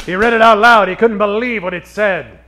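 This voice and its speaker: A man's voice: high-pitched, steady tone, fairly quick, bright, forceful tone. A man playing the wry, tongue-in-cheek narrator of a comic detective radio play, reading scripted narration. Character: wry male narrator of a noir detective spoof.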